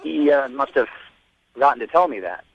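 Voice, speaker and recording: A man's voice: mid-range voice, animated, fairly quick, creaky. A man in an unscripted phone conversation over a dull, lo-fi line. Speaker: prank call target.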